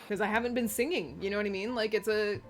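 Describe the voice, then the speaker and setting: A woman's voice: medium pitch, fairly even intonation, fast talker. Informal, rambling woman over music. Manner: informal, rambling, frequent swearing. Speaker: co-host of a live stream chat show. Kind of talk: casual chat.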